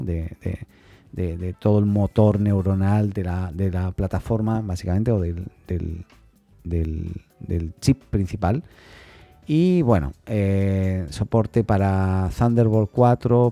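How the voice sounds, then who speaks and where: A man hosting a tech news podcast alone, talking informally and haltingly as he thinks aloud, often adding little questioning tags. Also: lowish voice, warm tone, no echo.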